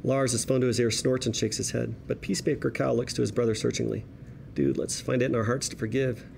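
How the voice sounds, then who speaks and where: A man's voice: medium pitch, steady tone, fast, gravelly, background noise. A man reading screenplay stage directions as narrator at a drama table read.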